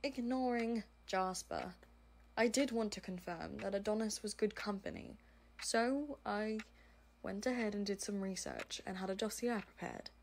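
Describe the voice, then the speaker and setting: A woman's voice: medium pitch, unhurried, creaky, darkish tone, slight background noise. A woman playing a serious, exacting aide with a formal, dry manner in an audio roleplay. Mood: dry, irritated. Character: serious, exacting female crime-business aide, dryly exasperated. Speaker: voice actor. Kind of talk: amateur audio roleplay acting.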